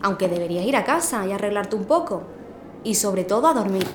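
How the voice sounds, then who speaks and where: A woman playing a brisk, caring, teasing hospital colleague in a radio drama. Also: medium pitch, lively intonation, close to an everyday voice. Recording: echoey room, faint noise.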